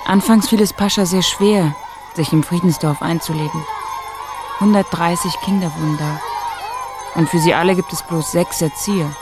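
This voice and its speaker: A woman narrating a radio drama about a child in scripted, plain and measured storytelling style. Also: fairly low pitch, fairly even intonation, over music, crowd noise, lightly acted.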